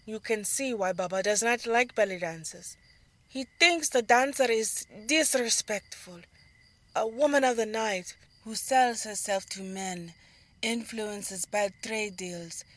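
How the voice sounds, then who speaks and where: Young female belly dancer played by a woman, earnest and longing, mid-range voice, measured fantasy audio drama delivery. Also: medium pitch, no room sound, close to an everyday voice.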